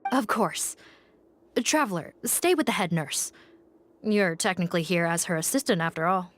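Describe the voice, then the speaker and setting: A woman's voice: on the low side, animated, moderate pace, lightly acted. A woman playing a dutiful, serious officer who leads a squad in a video game, speaking formally and in a businesslike way. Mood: compliant, businesslike. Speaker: police officer.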